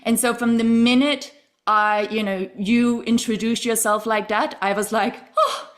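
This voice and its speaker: A woman hosts a podcast in a fairly high voice. She talks effusively and enthusiastically, and laughter bubbles up often. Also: fairly even intonation, measured pace. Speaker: podcast host. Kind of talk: podcast interview.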